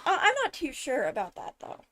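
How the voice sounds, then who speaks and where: A woman giving casual, analytical commentary on a video, animated and emphatic on key words. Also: high-pitched, brisk, heavy background noise. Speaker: video host, game lore commentator. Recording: background music behind the voice. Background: music.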